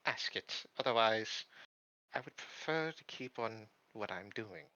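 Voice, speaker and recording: A man's voice: fairly low pitch, animated, measured pace, pushed and bright, dry recording, highs cut off. A man playing a curt, guarded, no-nonsense character in a tabletop roleplay, speaking bluntly. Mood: irritated, curt. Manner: blunt, terse.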